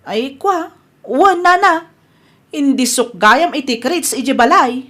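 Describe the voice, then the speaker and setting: A woman's voice: high-pitched, lively intonation, slow pace, a bit bright, exaggerated voice. A woman with an Ilocano Filipino accent acting a flustered village wife in a radio comedy drama. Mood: defensive. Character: flustered, blame-casting village wife, later apologetic.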